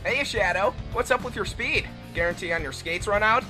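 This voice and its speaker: A man's voice: sits high, a little fast, over music, close to an everyday voice. A man voicing a cocky young male hedgehog speedster, flippant and taunting, in an amateur fan fiction reading. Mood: teasing.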